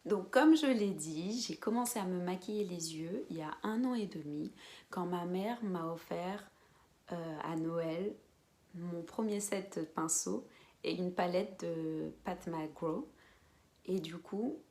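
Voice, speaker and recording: A woman in her twenties from French Guiana, chatting casually to camera as a beauty vlogger, with quiet whispered asides. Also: fairly low pitch, slow pace. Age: 20s. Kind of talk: casual vlog chat to camera.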